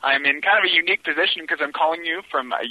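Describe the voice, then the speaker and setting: A man's voice: fairly high pitch, rapid-fire. A man from Canada leaving a casual voicemail over the phone. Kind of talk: casual chat. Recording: dull, dry telephone voicemail.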